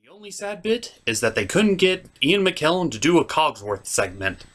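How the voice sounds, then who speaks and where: A man's voice: fairly high pitch, very animated, normal speed, fairly bright tone. A man hosting a scripted comedic review, with theatrical, exasperated reactions. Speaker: host of a review show.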